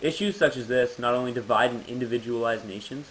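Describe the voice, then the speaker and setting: A man's voice: mid-range voice, fast talker, fairly bright tone. Man giving a formal presentation, noisy recording. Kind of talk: prepared informational presentation, read out.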